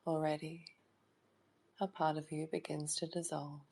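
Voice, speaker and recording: Low, very slow woman guiding meditation. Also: level tone, a little mellow, slightly rough. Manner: slow, soothing delivery with long pauses and short phrases. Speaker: meditation guide. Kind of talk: guided meditation, hypnotic trance induction.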